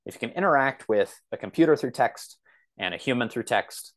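A man's voice: medium pitch, animated, a little fast. A man in his thirties explaining things casually as a podcast guest, rambling and often correcting himself as he goes. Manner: rambling, self-correcting, hedging.

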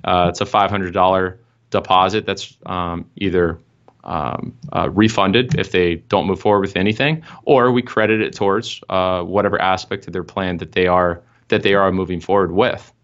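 A man's voice: low-pitched, steady tone, normal speed, fairly bright tone. A young man, a marketing consultant, explaining his firm's process in an interview over a video call from an office, with frequent fillers. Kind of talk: interview answers. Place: office room. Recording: dull video-call audio.

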